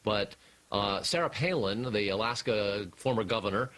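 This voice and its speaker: A man with an American accent reporting live as a television news correspondent, deliberate and emphatic. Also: lowish voice, a bit bright.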